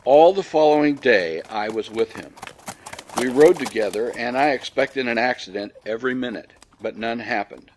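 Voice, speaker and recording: Man, audiobook narrator, ghost story, middle pitch, measured, formal, dryly cynical. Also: slight background noise. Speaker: audiobook reader. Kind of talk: dramatic scripted narration of an old ghost story.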